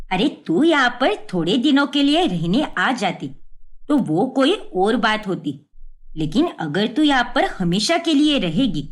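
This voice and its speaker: A woman voicing a blunt, unyielding middle-aged mother who lectures in an exasperated way, for a story. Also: fairly high pitch.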